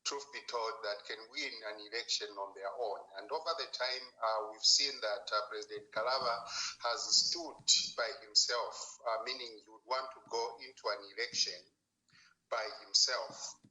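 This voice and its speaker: A man calling in, politely and haltingly putting a formal question. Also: fairly low pitch, steady tone, sharp tone, rough recording. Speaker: caller. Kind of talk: formal, hesitant question to guest.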